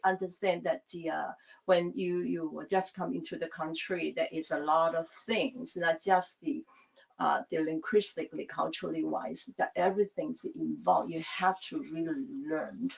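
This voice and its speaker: A woman born in Taiwan whose first language is Taiwanese, a hospital staff interpreter speaking in soft, halting non-native English on a video call. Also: sits low, steady tone, vocal fry. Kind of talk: panel talk on a video call. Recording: dull lo-fi video call audio.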